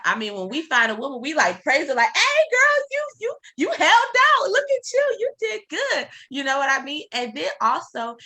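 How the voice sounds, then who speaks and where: A woman of thirty hosting a casual podcast chat, talking with lots of "like" and quick laughs. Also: sits high, sharp tone. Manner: chatty, many "like" fillers, quick laughs. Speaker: podcast host.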